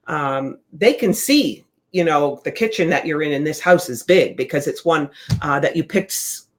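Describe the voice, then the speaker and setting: A real estate sales trainer giving a relaxed, conversational training talk, coaching listeners and repeating the key points. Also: mid-range voice, moderate pace.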